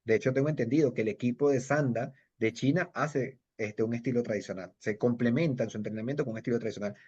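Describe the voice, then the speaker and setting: A man's voice: medium pitch, fast, darkish tone, breathy edge. A middle-aged Venezuelan man with a Venezuelan Spanish accent, telling stories in a casual interview, rambling with many fillers and restarts. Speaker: kung fu master and judge, interviewee. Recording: dull lo-fi audio.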